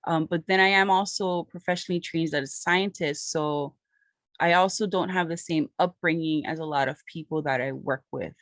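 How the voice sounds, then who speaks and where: A woman from Hawaii presents her botany research as a lecture with slides. Her voice sits on the lower side and she speaks in a steady, earnest way. The sound is dry and close, with no room echo. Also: normal speed.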